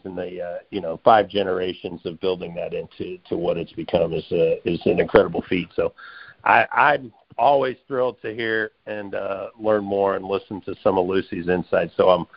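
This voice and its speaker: Middle-aged male co-host, very low pitch, loose unscripted chat, dull lo-fi sound. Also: creaky, no room sound. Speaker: podcast co-host. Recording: dull, lo-fi call audio.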